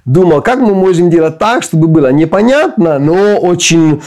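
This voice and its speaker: A man's voice: a little high, expressive, normal speed, a little mellow. An Italian man with an Italian accent, a guest explaining points carefully in non-native Russian. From Italy. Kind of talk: casual chat.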